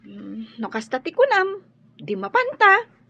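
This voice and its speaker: A woman's voice: very high-pitched, huge pitch swings, unhurried, creaky, bright, forceful tone, full character voice. An actress playing a young female student, a concerned and consoling friend, in a radio drama. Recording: dull radio drama audio.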